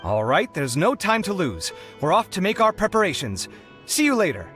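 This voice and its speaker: An eager, polite young male scholar from a video game. His voice is on the high side and animated, over faint music. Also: normal speed, heavily acted. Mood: friendly, eager. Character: friendly, expressive young male junior scholar. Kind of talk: video game character acting.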